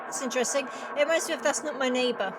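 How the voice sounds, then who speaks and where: A woman's voice: high-pitched, rapid-fire. A woman giving casual game commentary and reading on-screen text aloud as a video host. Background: clear music.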